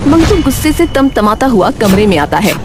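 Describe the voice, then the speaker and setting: A woman's voice: a little high, very animated, moderate pace, over music. A woman narrating a scripted dramatic story as its storyteller.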